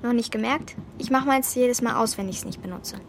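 A woman's voice: a little high, fairly quick, noisy background, lightly acted. A woman playing a bossy, streetwise young girl with a brash, know-it-all manner in a children's radio play. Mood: confident.

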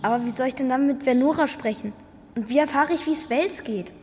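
A woman's voice: high voice, a little fast, a little mellow, close to an everyday voice. A woman playing a polite, worried young boy in a children's radio drama, hesitant and deferential. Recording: echoey hall, faint music behind.

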